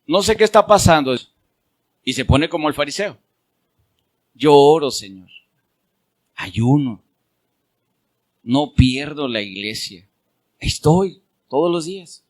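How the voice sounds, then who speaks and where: Mexican preacher with a raised voice. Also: male voice. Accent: Mexican Spanish. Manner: swings from loud emphatic peaks to soft low asides, acts out prayers in a quiet voice. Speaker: pastor. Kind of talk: sermon.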